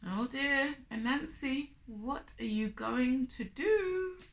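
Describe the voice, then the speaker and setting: A woman's voice: high voice, lively intonation, takes it slowly. A woman telling a story to young children expressively, with exclamations and questions to the listener. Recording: echoey room.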